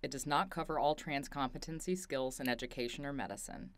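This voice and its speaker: A narrator reading a training script in an even, instructive way. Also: mid-range voice, fairly quick, no echo.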